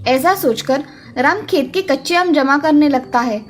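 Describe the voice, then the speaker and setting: A woman's voice: sits high, unhurried. A woman reading a scripted children's story as its narrator. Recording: music under the voice.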